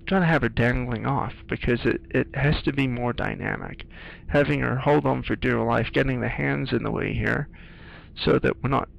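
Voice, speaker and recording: A man giving casual playthrough commentary, explaining things in a halting, thoughtful way with game audio behind him. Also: mid-range voice, vocal fry, lo-fi sound. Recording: faint noise, game sounds behind. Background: video game audio.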